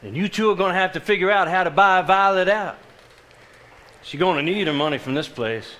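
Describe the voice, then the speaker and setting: A greedy, self-righteous man played by an actor in a stage drama. His voice is pitched high and pushes hard, loud and blustering with insistence. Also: brisk. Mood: demanding. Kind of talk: live stage drama acting.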